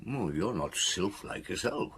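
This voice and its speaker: An actor playing a shrewd, courteous middle-aged chief inspector, speaking in a measured, deliberate way in an audio drama. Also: male voice, low-pitched, sing-song, a bit bright, husky, heavily acted. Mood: wry, reflective. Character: overweight male police chief inspector, shrewd, patient, courteous.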